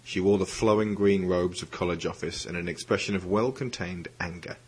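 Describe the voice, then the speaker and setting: A man's voice: deep voice, steady tone, fairly quick, a little mellow, husky, rough recording. A man reading scripted narration as the narrator of an audio drama. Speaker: narrator and project creator. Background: music.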